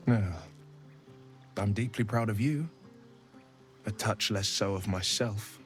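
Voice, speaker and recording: An actor playing a noble, self-deprecating young hero turned devil in a fantasy game, earnest, courtly and rueful. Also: male voice, lowish voice, slow pace, fairly bright tone. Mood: rueful, melancholy. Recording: music behind the voice.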